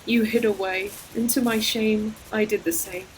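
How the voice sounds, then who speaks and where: A woman performing an ancient female forest demon in an audio roleplay, solemn, poetic and deliberate, a tender and sorrowful protective old friend. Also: mid-range voice, steady tone, slight background noise, lightly acted, rough recording. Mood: mournful. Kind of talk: scripted audio roleplay monologue, slow dramatic storytelling. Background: ambient environmental sound effects.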